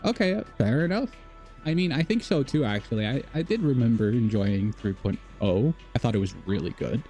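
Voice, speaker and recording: A man's voice: medium pitch, lively intonation, brisk, mellow, faint music behind. A man live streaming a video game, chatting casually with viewers and thinking aloud in a rambling, repetitive way.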